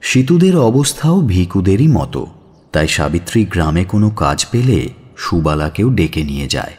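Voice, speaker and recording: A man's voice: deep voice, sing-song, normal speed, a little mellow, slightly rough. A man narrating a scripted audio story in a dramatic storytelling style.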